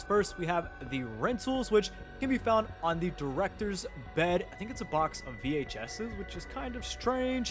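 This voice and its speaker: A man doing an informal voice-over for a video game guide, explaining things step by step. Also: fairly high pitch. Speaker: gaming video creator. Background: background music.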